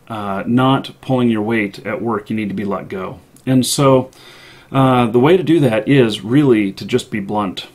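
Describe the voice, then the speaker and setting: A male live stream host with a middle-pitched voice talks through his advice informally. He is deliberate and halting, often pausing on drawn-out filler sounds before finishing a thought. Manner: halting, deliberate delivery with frequent long pauses and filler sounds. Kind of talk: casual advice monologue.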